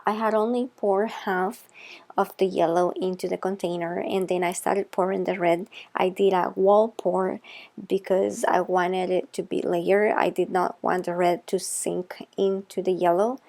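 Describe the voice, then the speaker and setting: A woman's voice: on the low side, little pitch movement, measured pace, vocal fry, a little mellow. A woman from El Salvador with a Salvadoran Spanish accent, narrating a craft tutorial as its host. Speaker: craft video host, soap maker.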